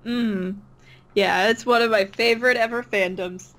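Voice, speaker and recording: A woman speaks in a fairly high voice at a slow pace. She chats casually as a guest, sounding agreeable and hesitant, and often lets her sentences trail off. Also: fairly even intonation, a bit bright. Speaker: interview guest, online comic dub creator. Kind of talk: casual chat.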